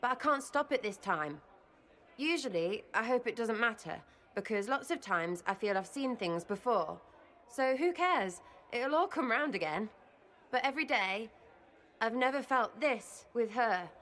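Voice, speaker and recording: A cheeky young elf woman from a fantasy video game, with an English accent. Her voice is forward and sharp, and she takes her time, stumbling playfully through slangy, heartfelt words. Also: on the high side, lively intonation, unhurried. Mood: nervous, heartfelt. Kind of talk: video game cutscene dialogue.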